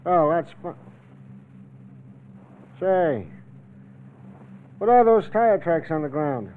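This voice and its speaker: An actor playing a courteous, plain-spoken police officer on a manhunt in an old-time radio drama. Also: male voice, a little high, very animated, slow. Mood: folksy, probing. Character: folksy, courteous police officer hunting an escaped killer. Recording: tinny vintage radio recording.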